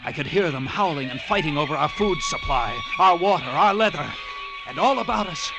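An actor playing a tense, resourceful lighthouse keeper who narrates a horror story in dramatic classic radio drama style. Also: male voice, high-pitched, huge pitch swings, fairly quick, full character voice, rough recording. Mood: gripping dread. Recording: vintage radio recording with loud music.

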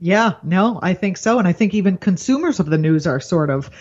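A woman's voice: lowish voice, expressive, darkish tone. Woman comedian guest, earnest and rambling, muffled lo-fi line. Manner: earnest, rambling, quick to laugh. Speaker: comedian and news anchor, guest. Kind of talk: casual political chat. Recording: dull lo-fi remote line.

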